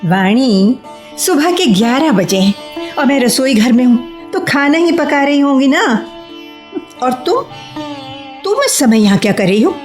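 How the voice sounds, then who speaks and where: A sensible housewife in a radio play, speaking in a high, very melodic voice. She chides her friend fondly, with measured and unhurried delivery, every phrase expressively shaped. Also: sing-song, a little mellow, faint music behind. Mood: chiding. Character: Indu, sensible homemaking woman, affectionately scolding her friend. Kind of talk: Hindi radio play acting.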